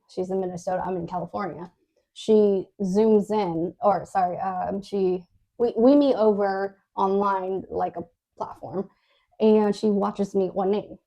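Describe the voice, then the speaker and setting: A woman's voice: mid-range voice, dark tone. A woman co-hosting a podcast, telling her story in a casual, hesitant way, with many fillers, restarts and little laughs. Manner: laughs often while talking. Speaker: podcast co-host.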